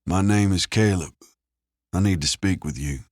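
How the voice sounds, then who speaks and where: A man acts out an enigmatic man of few words in an audiobook thriller. His low voice is measured, formal and coldly menacing, captured close and dry. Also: darkish tone, no echo, close to an everyday voice. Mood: cold, controlled.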